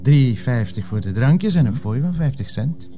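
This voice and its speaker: A man's voice: medium pitch, animated, moderate pace, mellow, soft background music, close to an everyday voice. A man acting a patient, sensible police inspector in a TV crime drama, polite and restrained, with a Flemish accent. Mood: patient, dry.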